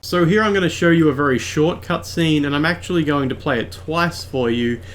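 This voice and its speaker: A man giving a measured, explanatory voice-over for a game video. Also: a little high, fairly bright tone. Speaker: video essayist. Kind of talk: scripted game commentary voice-over.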